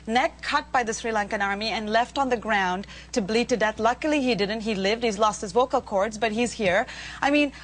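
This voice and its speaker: A woman's voice: a little high, moderate pace, a little hiss or hum. A woman in her thirties arguing insistently as a spokesperson in a studio panel debate. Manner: insistent, argumentative. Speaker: media spokesperson, panel guest. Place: TV studio round table. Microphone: lapel.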